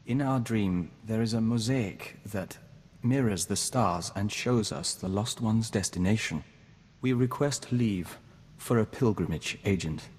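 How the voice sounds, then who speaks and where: An actor voicing a calm, formal young man joined to an insect hive mind in a video game, speaking in a measured way as "we". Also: on the low side, slightly rough, long reverb tail. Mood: solemn. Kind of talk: scripted video game voice acting.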